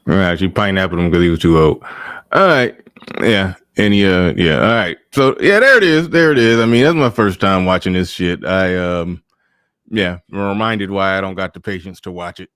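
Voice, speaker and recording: A man's voice: low-pitched, moderate pace, fairly bright tone, clean tone, no room sound, clean studio sound. A man hosting a casual livestream, commenting in a laid-back, rambling way with frequent profanity. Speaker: host.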